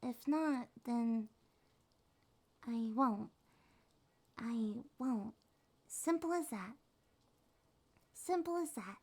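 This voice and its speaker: A woman voicing a small, affectionate succubus monster girl in a soft, soothing, sleepy ASMR roleplay. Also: on the high side. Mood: gentle, reassuring. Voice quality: soft, breathy, often whispered. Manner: slow, tender, soothing.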